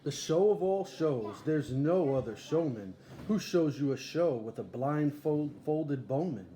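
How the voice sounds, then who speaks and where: A man's voice: a little high, lively intonation, unhurried, warm tone. A man voicing an eager, boastful boy showman in a storybook, barking grandly like a ringmaster. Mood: showy, proud. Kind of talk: storybook read-aloud character. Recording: echoey room, faint background noise.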